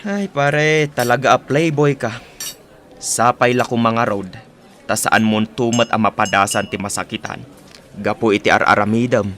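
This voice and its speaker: A frank male buddy played by an actor in an Ilocano radio drama. His medium-pitched voice is disapproving and scolding, with an Ilocano Filipino accent. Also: steady tone, normal speed, a bit bright, close to an everyday voice. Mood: reproachful.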